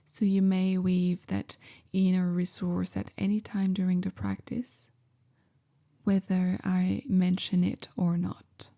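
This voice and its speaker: A woman guiding a meditation in a gentle, calm, measured way, softly spoken with whispery phrase endings. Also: on the low side. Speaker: meditation guide, yoga teacher. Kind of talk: guided meditation, slow soothing instruction.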